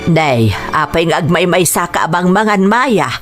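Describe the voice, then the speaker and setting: A woman's voice: on the low side, expressive, measured pace, faint music behind. A woman playing a concerned older mother-in-law in an Ilocano radio drama, fussing expressively and with sympathy.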